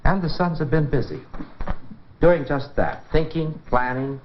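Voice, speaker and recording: A man's voice: fairly high pitch, a little slow, slight background noise. An American man presenting a 1950s educational science film, explaining in a conversational, folksy way with rhetorical questions. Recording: dull 1950s film soundtrack.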